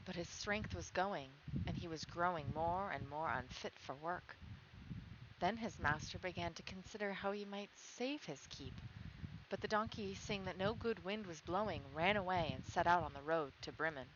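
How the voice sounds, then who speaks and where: A woman's voice: lowish voice, normal speed, muffled recording, background noise. A woman livestreamer reading a storybook tale aloud and chatting with her viewers in between. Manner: breaks off to talk with viewers.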